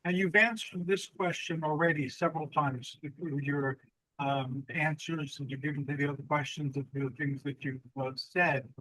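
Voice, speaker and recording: A male host with a fairly high voice, recorded close and dry with no room sound. He speaks in a measured, reflective way, with an occasional "uh" between thoughts. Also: steady tone, fairly bright tone, dry recording, low-quality recording. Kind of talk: interview hosting.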